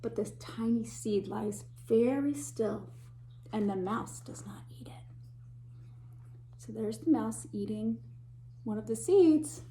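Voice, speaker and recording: A woman teacher reading a picture book aloud to children, pausing to point out the pictures and dropping to a whisper or a gasp at tense moments. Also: a little high, slight background noise. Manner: animated, gasps and whispers for suspense, pauses to point out pictures. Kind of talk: expressive read-aloud storytime.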